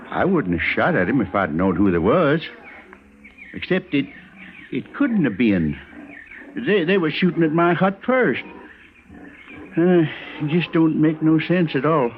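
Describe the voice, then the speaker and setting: Jittery, stammering frontier homesteader, tinny recording. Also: male voice, fairly high pitch, sing-song, measured pace, long pauses. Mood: worried, meek. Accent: rural American. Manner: hesitant, stammering, deferential. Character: nervous, simple frontier homesteader, frightened of Indians. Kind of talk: old-time radio western acting. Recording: muffled, tinny old radio recording, faint noise.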